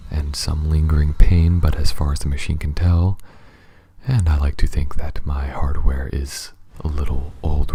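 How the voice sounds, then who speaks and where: A man playing a calm, reassuring alien spaceship pilot in an ASMR roleplay, speaking softly and haltingly, often near a whisper. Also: extremely low pitch, a little hiss or hum. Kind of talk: ASMR roleplay addressed to the listener.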